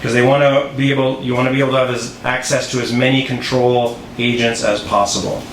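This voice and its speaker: A man giving a casual instructional walkthrough as a game designer, explaining step by step and often pausing mid-sentence. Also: medium pitch, little pitch movement. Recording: roomy echo, faint background noise.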